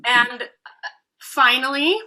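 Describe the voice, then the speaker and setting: Woman voice coach, 30s, bright tone; sincere guest on a podcast interview. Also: high voice, drawn-out words, rough recording. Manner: earnest, heartfelt storytelling.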